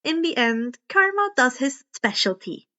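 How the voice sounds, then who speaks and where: A woman's voice: high-pitched, big pitch swings, a little slow, pushed and bright. A woman reading fan fiction aloud as narrator, now and then stumbling and rereading phrases. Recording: dull, muffled lo-fi home recording.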